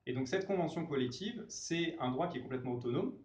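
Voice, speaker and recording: A man's voice: fairly high pitch, animated, fast talker. A man, a labor lawyer, explaining rules patiently to an audience with example after example. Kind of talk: explanatory panel talk. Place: conference room. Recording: echoey room, dull mic.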